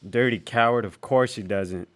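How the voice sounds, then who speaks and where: Radio Western villain played by a man: a possessive, short-fused rancher, mid-pitched, gruff and threatening. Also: normal speed, a bit bright, lightly acted. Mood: angry, contemptuous. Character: hot-tempered bullying frontier rancher, a possessive brother. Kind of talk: old-time radio Western acting.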